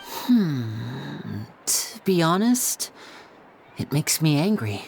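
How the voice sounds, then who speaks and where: A sly, charming young magician. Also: male voice, on the high side, big pitch swings, very slow, a little mellow, slight background noise, heavily acted. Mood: annoyed. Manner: smooth, teasing, theatrical. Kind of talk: video game voice acting.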